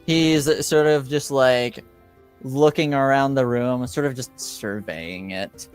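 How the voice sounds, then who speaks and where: A man improvising narration as game master of a tabletop roleplaying game, halting and searching for words. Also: mid-range voice, clear, resonant tone, faint music behind.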